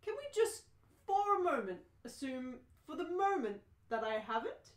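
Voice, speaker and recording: Bewildered, exasperated everyman for radio comedy, played by a woman, highish, dry, roomy echo. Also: big pitch swings, normal speed. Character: exasperated, bewildered ordinary Englishman defending his house. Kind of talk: amateur radio play reading. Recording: roomy, echoing live reading.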